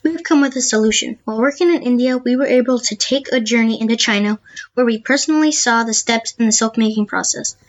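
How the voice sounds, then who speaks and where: A dull, lo-fi recording of a calm, confident monk in an audio play, acted by a woman. The voice is fairly high, with measured and formal phrasing. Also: natural voice. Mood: earnest, respectful. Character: calm, confident male monk on a secret mission. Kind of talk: amateur audio play acting.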